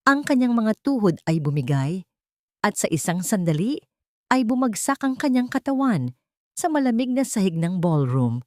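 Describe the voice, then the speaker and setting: A woman's voice: lowish voice, a little mellow, dry recording, clean studio sound. A woman reading a scripted story as a dramatic audiobook narrator.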